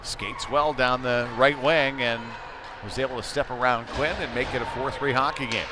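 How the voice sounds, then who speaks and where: A man giving conversational live play-by-play commentary of a youth hockey game, ready to break into excited calls. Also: mid-range voice, moderate pace. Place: indoor ice rink during a game. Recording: clearly noisy sports rink behind voice.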